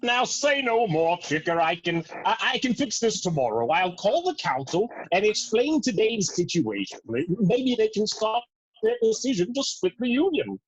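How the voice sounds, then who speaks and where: A flustered, dutiful cartoon bird who leads a young troop, acted by a woman. The voice is husky, with very animated swings of pitch. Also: normal speed, no echo, dull audio. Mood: anxious, accommodating.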